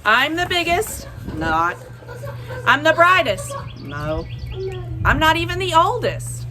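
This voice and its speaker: A woman voicing a boastful, playful Sun from a picture book, theatrical and bragging with drawn-out emphasis. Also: high voice, very animated, sharp tone, pitched above the performer's own voice, full character voice. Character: boastful, playful Sun, a cheerful showboating star. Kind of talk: expressive picture-book read-aloud.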